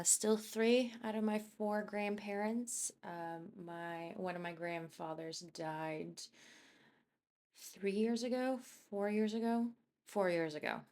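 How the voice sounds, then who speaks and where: A young woman from Poland, chatting casually as a YouTuber while she builds in a game, rambling and thinking aloud. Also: fairly low pitch.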